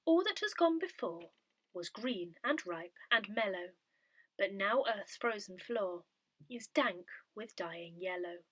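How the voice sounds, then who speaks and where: A woman reads prepared text aloud with careful, measured phrasing. Her voice is bright and clearly projected, with lively rises and falls in pitch. The sound is dry and close, free of room echo. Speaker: volunteer reader of an audio parish newsletter. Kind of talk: scripted read-aloud of articles, poems and notices.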